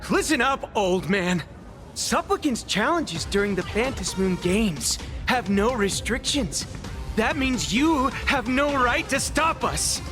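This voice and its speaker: A man's voice: very high-pitched, sing-song, slow pace, exaggerated voice. A man playing a bitter, vengeful young squad fighter in a game, declaiming theatrically over music. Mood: defiant. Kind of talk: dramatic game voice acting. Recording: background music under the voice.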